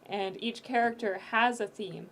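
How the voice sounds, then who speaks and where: A woman talks through her audio drama project on a relaxed podcast. She explains things step by step, sometimes stopping and restarting a sentence as she thinks. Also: fairly high pitch, moderate pace, a crowd in the background, poor audio. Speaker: audio drama creator, guest. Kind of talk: casual podcast conversation.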